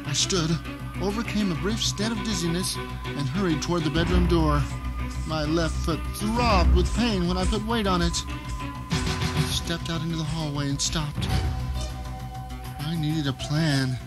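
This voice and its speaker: A man's voice: on the high side, a little slow, long pauses, over loud music, close to an everyday voice, poor audio. A man playing a bitter, vengeful blind man trapped at home, narrating an audio drama in an intense, theatrical way. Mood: tense, determined. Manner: intense, theatrical, emphatic delivery.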